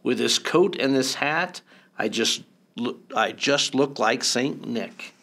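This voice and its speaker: A man's voice: medium pitch, slow pace, gravelly, pushed and bright, full character voice. A man reading aloud voices the Grinch, a grumpy, scheming male creature who snarls and sneers.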